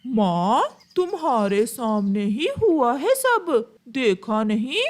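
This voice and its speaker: Woman voicing an animated, whining young crow husband. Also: a little high, slow. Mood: aggrieved. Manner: complaining. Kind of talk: animated moral story dialogue.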